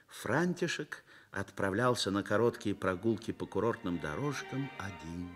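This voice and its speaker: Male narrator, Soviet radio drama. Literary, measured, expressive storytelling with very varied intonation at a middle pitch.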